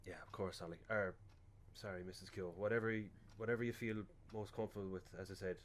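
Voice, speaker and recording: An awkward young Irish funeral director in a radio play, played by a man. His voice sits low, and he speaks haltingly and apologetically as he offers comfort, stumbling over his words in a natural, unshowy way. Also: steady tone, slight background noise, natural voice. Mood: apologetic, polite.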